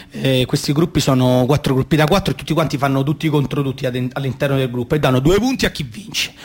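A man's voice: a little high, natural voice. An actor playing a boastful, naive little boy who loves football and sticker cards, in a radio play, with a Roman accent and hesitant, rambling childish talk. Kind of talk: radio theatre monologue in childish colloquial speech.

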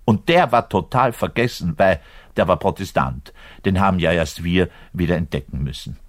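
An actor playing a frustrated, lovesick orchestral double bass player in a radio monologue, bitter and self-pitying, ranting and muttering by turns. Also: male voice, expressive, normal speed. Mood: opinionated, grudging. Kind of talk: theatrical radio play monologue addressed to the listener.

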